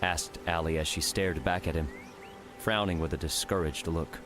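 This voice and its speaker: A man's voice: sits low, steady tone, moderate pace. A man reading scripted fiction narration as an audiobook narrator. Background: music.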